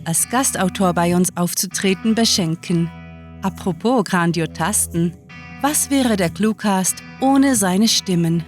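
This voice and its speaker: A woman's voice: medium pitch, darkish tone. A woman hosting a podcast, reading a scripted outro to listeners. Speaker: podcast host.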